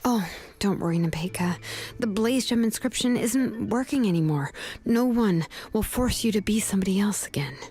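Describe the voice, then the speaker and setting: A laid-back adult woman smith in a video game, played by an actress. She talks in a relaxed, gentle and reassuring way, with a voice in the middle range. She sounds caring and unhurried. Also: mid-range voice, natural voice. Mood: comforting.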